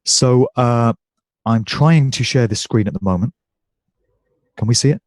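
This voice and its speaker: A man giving a talk as a guest speaker, congested and croaky but speaking fluently over a video call. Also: medium pitch, a little slow, no echo. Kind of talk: presentation.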